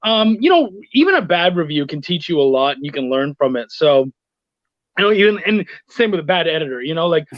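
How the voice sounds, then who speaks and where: A man's voice: a little high, sing-song, fairly quick, dry recording. A man chatting casually as an interview guest, telling anecdotes and voicing the exchanges in them, on muffled lo-fi call audio. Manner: anecdotal, acting out quoted exchanges. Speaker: guest, freelance comics writer and editor.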